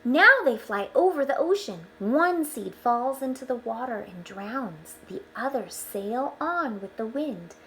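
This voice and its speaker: A woman reading a picture book aloud to children, expressively, pausing for explanatory asides. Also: a little high, a little hiss or hum, poor audio. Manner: expressive, with hushed asides pointing things out.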